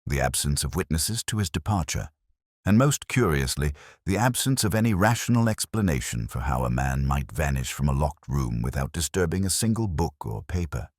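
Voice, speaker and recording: Storybook detective, played by a male narrator: incisive, cool, formal, very low voice, lively inflection. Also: no room sound, studio quality, heavily acted. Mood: cool, probing. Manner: formal, deliberate, exacting questioning. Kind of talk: audiobook character reading.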